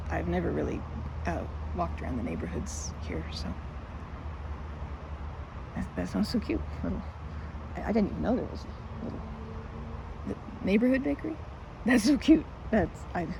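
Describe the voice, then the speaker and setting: A woman playing a timid, flustered young woman in an ASMR roleplay, stammering and hesitant, rambling self-effacingly. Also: medium pitch. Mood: flustered, pleased. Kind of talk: ASMR roleplay, one-sided conversation. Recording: clearly noisy outdoor ambience.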